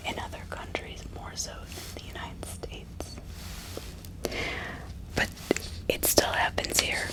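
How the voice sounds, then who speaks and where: A woman narrating true crime in a breathy ASMR whisper, deliberate and often repeating words and phrases. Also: low-quality recording. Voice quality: breathy, mostly unvoiced whisper. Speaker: ASMR host.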